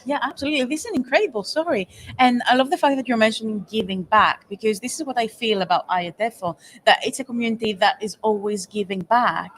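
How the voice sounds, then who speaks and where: A woman's voice: on the high side, fast talker, few pauses, fairly bright tone. A woman hosting a conference interview, asking questions and affirming her guest's answers.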